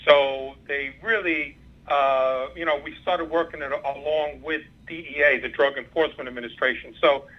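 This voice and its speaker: A Cuban-born man in his sixties, a native Spanish speaker, recounts his working years in a rambling way, full of fillers and restarts. His voice sits a little high for a man. The sound comes over a dull, muffled phone line. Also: fairly even intonation, normal speed. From Cuba. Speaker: former undercover FBI agent, guest. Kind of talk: interview, recounting career.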